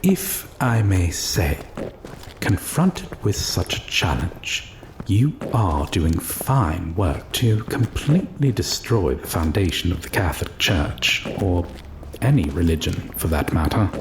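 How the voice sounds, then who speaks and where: A man's voice: sits low, sing-song, slow pace, background noise, exaggerated voice. A man playing an enigmatic, deferential seer in an audio drama, speaking formally and cryptically. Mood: wry. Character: enigmatic, deferential male seer who knows the future. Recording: clear background music under the voice.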